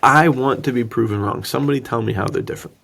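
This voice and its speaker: A man co-hosting a podcast in casual film discussion, joking, with a gravelly, husky voice. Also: medium pitch, quick pace, fairly bright tone. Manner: joking, laughs easily. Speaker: podcast co-host.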